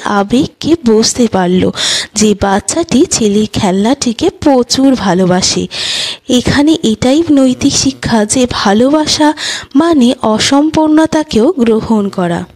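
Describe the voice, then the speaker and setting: Slow, high-pitched woman storyteller. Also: lively intonation, darkish tone. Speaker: storyteller and channel host. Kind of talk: scripted narration of short children's moral stories.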